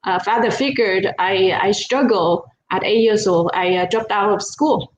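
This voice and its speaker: A woman's voice: mid-range voice, measured pace. A woman with a Vietnamese accent speaks over a video call, earnest and modest as she tells her story. Her voice sits mid-range for a woman, and the room around her rings noticeably. A faint hiss runs underneath. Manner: modest, earnest, with small restarts. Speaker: guest, business partner. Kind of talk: personal life story in a casual interview. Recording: roomy with faint background noise.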